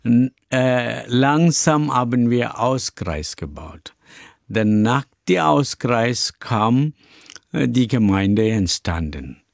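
Indian guy in his 60s, tamil first language, pastor, talks real slow w/ lots of ums, gravelly, dry sound. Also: mid-range voice, animated, dry recording. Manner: halting, searching for German words with many fillers. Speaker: pastor and church founder. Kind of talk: interview guest.

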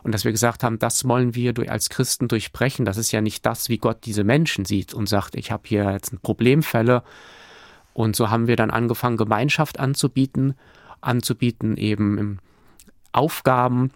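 A man's voice: medium pitch, fairly even intonation, moderate pace, dry recording, studio quality. A man from Hamburg, a church planter, answering interview questions in a thoughtful way, with restarts and fillers. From Hamburg, Germany.

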